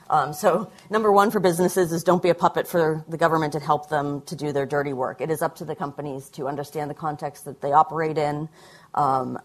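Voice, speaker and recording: A woman with a notably low voice addresses a conference audience from a panel. She speaks in a measured way, pausing often on ums and you-knows while she builds her point. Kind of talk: panel discussion answer.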